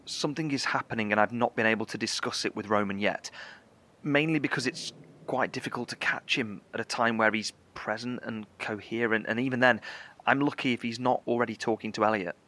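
An actor playing a measured, articulate male scientist survivor, broadcasting explanations from a bunker in a horror audio drama. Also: mid-range voice, bright, forceful tone, natural voice. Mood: measured, concerned. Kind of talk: in-character scripted radio broadcast monologue.

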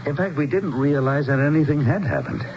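An actor playing a grave, courteous American small-town mayor in a radio drama, speaking in a measured, dramatic storytelling way. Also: male voice, on the high side, expressive, a little mellow, close to an everyday voice. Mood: grave, haunted. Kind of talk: 1970s radio drama acting. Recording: dull, noisy old radio broadcast.

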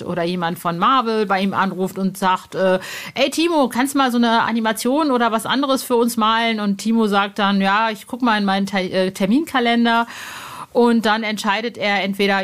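A woman presents a podcast in a casual, chatty style. Her pitch sits in the middle for a woman, and she talks colloquially with bubbly informality, as if to regular listeners. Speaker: podcast host.

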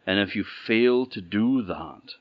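A man's voice: fairly low pitch, slow pace, dry recording. A man voicing a wise young princess who speaks solemnly and pleadingly, in a storybook telling. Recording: dull lo-fi recording.

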